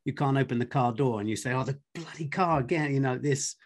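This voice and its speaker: A man hosting a podcast, chatting casually and relaxed with his guest. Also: medium pitch, a little fast. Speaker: podcast host.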